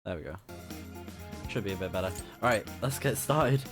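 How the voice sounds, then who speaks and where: A man's voice: on the low side, expressive, normal speed. A young man with a slightly scratchy voice giving enthusiastic, casual live commentary as he plays a video game. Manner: enthusiastic, thinking aloud. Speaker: game streamer. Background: game music.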